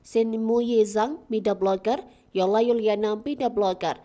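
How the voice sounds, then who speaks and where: A woman reading a scripted sports news report in an even, read-aloud manner, her voice dropping at the ends of sentences. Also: medium pitch, measured pace. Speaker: news narrator.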